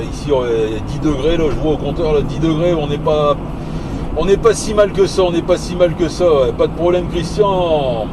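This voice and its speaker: A man chatting casually on CB radio while driving, hesitant and repeating his phrases. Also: fairly high pitch. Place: inside a moving vehicle. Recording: loud vehicle and engine noise. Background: road and engine noise.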